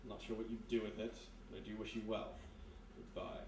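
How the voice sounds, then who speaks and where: An actor playing a courteous but needling army major, dry and measured, in a 1930s-style radio mystery. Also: male voice, on the low side, completely flat delivery, darkish tone, natural voice. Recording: distant, roomy live stage, faint noise.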